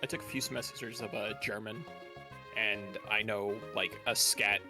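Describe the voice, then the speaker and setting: A man's voice: lowish voice, fairly even intonation, moderate pace, vocal fry, over loud music. A man guesting on a game stream, chatting casually, joking and rambling with swearing and filler words.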